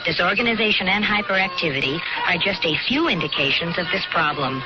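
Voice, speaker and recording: Low-voiced woman announcer over music. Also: animated, fairly quick. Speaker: public service announcer. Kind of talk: scripted radio announcement. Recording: muffled 1970s radio broadcast.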